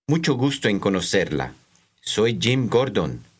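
A man reading scripted language-course dialogue, enunciating slowly and carefully for learners. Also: fairly low pitch, sing-song, slightly rough.

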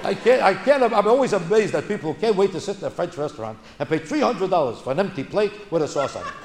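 A man's voice: high voice, lively intonation, quick pace. An American man performing stand-up comedy in an indignant, emphatic ranting style, repeating phrases for punch. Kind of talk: stand-up comedy routine, ranting observational bits. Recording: echoey live room, clearly noisy recording.

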